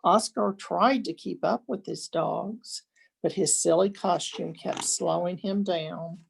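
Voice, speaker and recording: An older woman, a grandmother, reading a children's picture book aloud expressively, with deliberate dramatic pauses. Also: on the low side, creaky, slight background noise.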